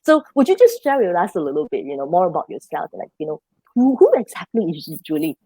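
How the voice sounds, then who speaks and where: A woman hosting a live online interview in a casual, chatty way, with plenty of filler words. Her voice is mellow and warm. The sound is dry and close. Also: on the low side, big pitch swings, brisk, husky, dry recording, rough recording. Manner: chatty, with many fillers like "you know". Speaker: host of a live online show.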